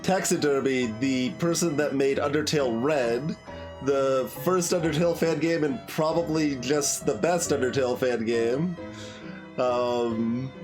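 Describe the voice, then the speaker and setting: A man giving casual, musing commentary as he plays a video game, often hesitating and trailing off. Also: medium pitch. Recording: music behind the voice. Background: game music.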